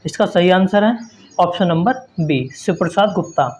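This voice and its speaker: A man's voice: sits high, moderate pace, a little mellow. A man teaching an exam-prep quiz lesson, reading out questions and explaining answers in an instructive way with repeated emphasis.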